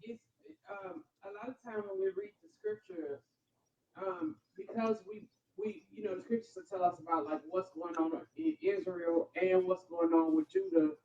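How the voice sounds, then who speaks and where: A woman's voice: medium pitch, very animated, moderate pace, creaky, mellow, recorded from a distance, dull audio. An American woman on a scripture study panel, talking conversationally and checking in often, picked up without a microphone of her own. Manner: conversational, frequent check-ins with listeners. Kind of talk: casual Bible discussion. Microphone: picked up from across by another's mic.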